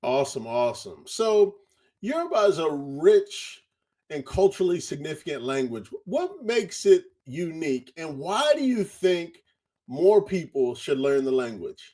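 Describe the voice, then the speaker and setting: A man's voice: a little high, big pitch swings, a little slow, darkish tone. A man interviewing a language tutor, upbeat and encouraging, often repeating his praise. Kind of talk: interview questions.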